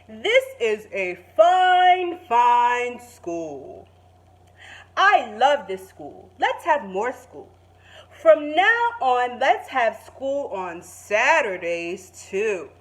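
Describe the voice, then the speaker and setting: A woman voicing a proud, overenthusiastic male school principal in a children's storybook, proclaiming with drawn-out emphasis. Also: a little high, big pitch swings, exaggerated voice. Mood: proud, eager. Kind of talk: children's storybook read aloud.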